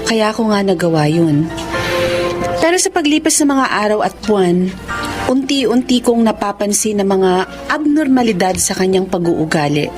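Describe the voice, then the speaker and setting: A woman's voice: medium pitch, lively intonation, moderate pace, natural voice. A woman acting a troubled wife who narrates in a confiding way for a Filipino radio drama. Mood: troubled, reflective. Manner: reflective, confiding. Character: troubled young wife recounting her marriage. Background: music.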